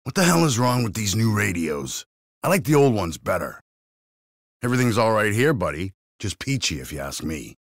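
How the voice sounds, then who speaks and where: A man voicing a gruff, grumbling, sarcastic criminal in a video game. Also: fairly low pitch, very animated, moderate pace, dry recording, studio quality. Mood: grumpy. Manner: grumbling, sardonic. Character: gruff, sarcastic male criminal bluffing as guard. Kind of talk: game voice lines over radio.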